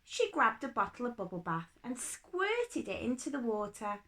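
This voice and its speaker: A woman narrates a storybook for children with plenty of expression. Her voice is fairly high and bright, swinging widely in pitch as she goes. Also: sing-song, normal speed. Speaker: storybook reader.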